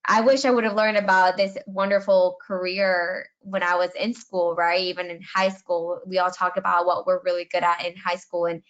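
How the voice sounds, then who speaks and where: A middle-aged woman from Colombia, a webinar panelist, talks about her working life in an earnest, reflective tone. Her voice sits low and stays fairly even in pitch. The sound is a little dull, like typical webinar audio. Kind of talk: personal career story on a webinar panel.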